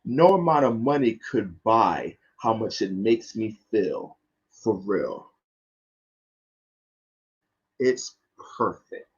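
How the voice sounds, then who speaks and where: A man voicing a loving, reassuring mother comforting her young son in a children's picture book, with slow, tender, emphatic delivery. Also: on the low side, animated, husky, highs cut off, heavily acted. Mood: tender, adoring.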